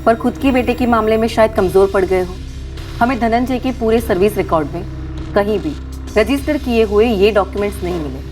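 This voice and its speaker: An actress playing a composed, skeptical government officer in a television crime drama, reporting in a measured, matter-of-fact way over background music. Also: female voice, mid-range voice, lightly acted. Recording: background music under dialogue.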